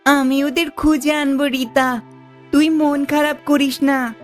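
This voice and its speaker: A woman's voice: sits high, flat delivery, unhurried, soft background music. A woman voicing a plucky, honest poor village boy in a cartoon family drama. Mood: earnest.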